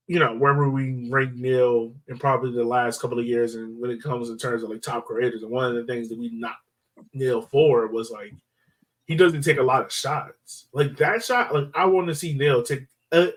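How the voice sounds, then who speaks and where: A man's voice: mid-range voice, rough recording. A man co-hosting a sports podcast, chatting casually like a fan in loose, informal phrasing peppered with "like". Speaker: soccer podcast host.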